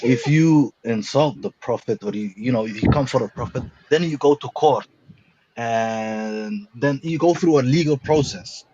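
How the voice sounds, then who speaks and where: A man's voice: medium pitch, normal speed, noisy background. A man firmly explaining his position in an online audio room. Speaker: debate participant. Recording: dull lo-fi call audio.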